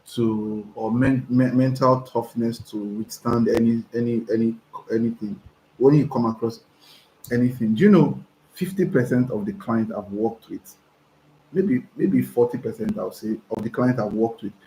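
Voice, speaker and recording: Hoarse Nigerian man, excitable livestream coach. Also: medium pitch. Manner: excitable, repeats himself, stumbling restarts. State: hoarse from talking. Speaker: online business coach. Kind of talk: livestream chat reading viewer messages. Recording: roomy echo, faint background noise.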